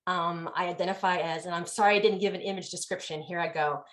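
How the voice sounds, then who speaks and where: A woman's voice: fairly low pitch, fairly even intonation, fast, a bit bright. A middle-aged woman introducing herself on a panel with chatty enthusiasm over a video call. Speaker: panelist, professor.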